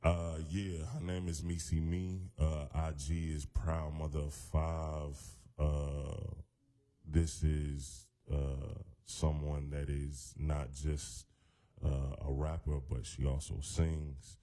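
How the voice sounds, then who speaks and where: A man with a very deep voice, a hip-hop artist talking as a guest in a radio studio in a loose, laid-back way full of fillers. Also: little pitch movement, slow, dark tone. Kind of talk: casual radio interview.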